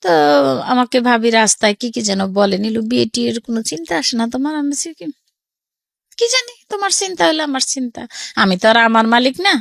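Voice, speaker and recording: A woman's voice: fairly high pitch, expressive, quick pace, gravelly, a bit bright, dry recording, clean studio sound. A middle-aged woman with a Bangladeshi accent tells her life story casually and conversationally, often quoting family dialogue.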